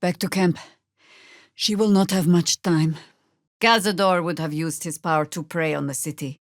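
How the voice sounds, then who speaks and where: A woman voice actor playing a seasoned, older druid warrior in a video game, wry, stern and proud, with measured, commanding delivery. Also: fairly low pitch, fairly even intonation, no room sound, studio quality, close to an everyday voice. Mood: resolute. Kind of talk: scripted video game dialogue.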